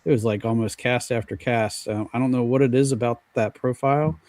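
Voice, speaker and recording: American male panelist, mid-pitched, dry close sound, hesitant casual storytelling. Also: steady tone, brisk, darkish tone, no echo. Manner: hesitant, searching for words. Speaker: fishing podcast panelist. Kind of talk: casual anecdotal chat.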